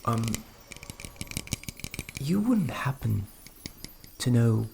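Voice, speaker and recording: A performer voicing a flustered, kindly fairy magic specialist in a fantasy ASMR roleplay, speaking softly and hesitantly, often dropping into a whisper. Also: mid-range voice, big pitch swings, gravelly, a little hiss or hum, full character voice. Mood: helpful, gentle. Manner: hesitant, halting, repeats words, often whispering.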